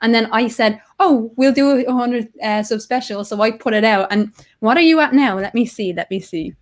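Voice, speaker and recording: A woman with a fairly high voice co-hosts a casual podcast chat. She teases her co-host and speaks bluntly, often repeating herself as she pushes a point. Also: moderate pace. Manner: teasing and blunt.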